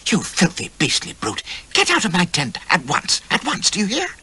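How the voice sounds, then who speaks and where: Prim young lady, shrill and indignant, husky edge, read by a male narrator. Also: huge pitch swings, moderate pace, heavily acted. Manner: shrill, squealing when outraged, hushed to a hiss. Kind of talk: audiobook character voice.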